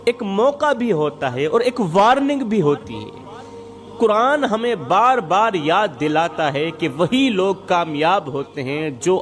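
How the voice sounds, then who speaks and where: A man preaching in an emotive religious storytelling style, dramatic and rhetorical, repeating phrases for emphasis. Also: sits high. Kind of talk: emotive Urdu religious sermon with moral storytelling. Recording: background music under the voice. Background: music.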